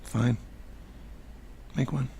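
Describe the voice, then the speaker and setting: An actor playing an easygoing, teasing lover in an intimate audio drama, laconic and low-key. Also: male voice, drawn-out words, mellow, slight background noise. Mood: relaxed, teasing.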